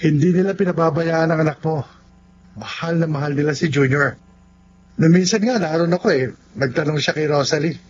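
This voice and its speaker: A man's voice: a little high, a little fast, slightly rough. A man with a Filipino accent acting an earnest, loyal young best friend in a radio drama. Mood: cheerful, friendly. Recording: dull lo-fi radio, faint music behind.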